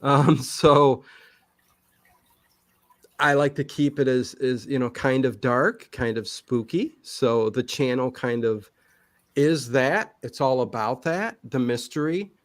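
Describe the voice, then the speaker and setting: A man's voice: medium pitch, slow, no echo. A man chatting casually in an interview, rambling and emphatic, often restarting his sentences halfway through. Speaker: livestream channel host being interviewed.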